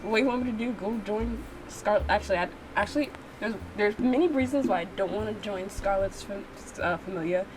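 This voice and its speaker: A woman giving blunt, slangy, expressive reactions as she plays through a game as a channel host. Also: mid-range voice, noisy background. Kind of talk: playthrough reaction, reading dialogue aloud with casual commentary. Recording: music behind the voice. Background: music.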